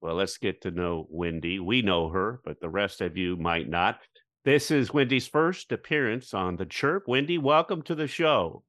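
A man's voice: low voice, expressive, moderate pace, a bit bright, dry recording, clean studio sound. A man co-hosting a podcast, introducing and questioning the guest in an upbeat way. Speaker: podcast co-host.

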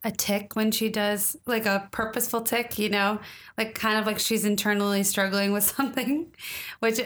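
A woman's voice: mid-range voice, fairly even intonation, normal speed, a bit bright. A woman co-hosting a podcast, chatting casually and telling stories with plenty of "like". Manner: chatty storyteller, fillers like "like". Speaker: podcast co-host.

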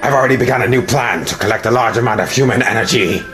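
A man's voice: medium pitch, quick pace, reverberant, natural voice. A man playing an arrogant, scheming villain in an anime fan dub, with formal, contemptuous delivery. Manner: formal, haughty. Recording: clear music behind the voice.

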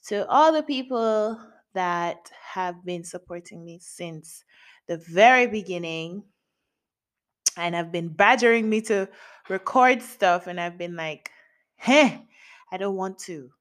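Slow-talking woman in her late twenties. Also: lowish voice, lively intonation. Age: late 20s. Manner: chatty and candid, laughs often, playful slang. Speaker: podcast host. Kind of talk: casual solo podcast chat. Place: home in Japan.

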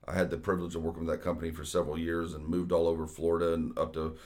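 A man's voice: little pitch movement, very fast, a little mellow. A man, hosting a real estate talk show in a casual, conversational way with frequent fillers. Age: 40s. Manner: deep voice. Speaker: real estate podcast host.

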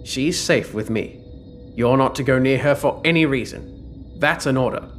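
An imperious, secretive ruler figure played by a man in sci-fi audio fiction. He commands with haughty control in a mid-pitched voice, with faint music behind him. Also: moderate pace, close to an everyday voice. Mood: guarded, commanding. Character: imperious, secretive armour-suited man of power. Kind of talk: audio drama acting.

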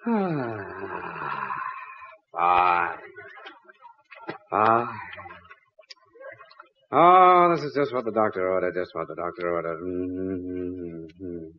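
A man's voice: deep voice, expressive, extremely slow, long pauses, a little hiss or hum, full character voice. An American man acting a flustered, put-upon middle-aged father who sputters and blusters in a 1940s radio comedy. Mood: contented, relaxed. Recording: muffled vintage 1940s radio broadcast.

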